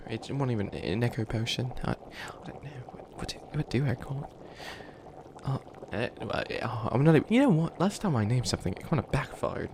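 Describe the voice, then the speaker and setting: A man's voice: mid-range voice, natural voice. A man voicing a flustered, youthful bunny witch who wants to be evil, stammering and rambling defensively in a comedic ASMR roleplay. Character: flustered 853-year-old youthful bunny witch, harmlessly wannabe evil. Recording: clearly noisy ambience behind voice.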